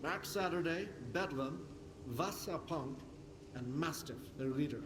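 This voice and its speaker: A man's voice: on the high side, expressive, unhurried, echoey room, background noise. An actor playing a wry, chatty male technician in a post-apocalyptic video game. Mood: uneasy.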